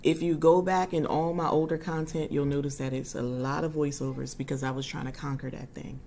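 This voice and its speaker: Low, husky-voiced woman chatting. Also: slight background noise. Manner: chatty and warm toward her viewers, frequent ums. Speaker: livestream host. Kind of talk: casual livestream chat.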